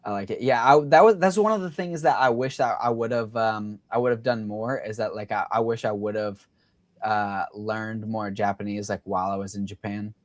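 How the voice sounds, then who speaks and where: A man's voice: on the low side, moderate pace, no echo. A young man hosting a livestream, chatting casually and rambling with lots of fillers. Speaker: livestream host.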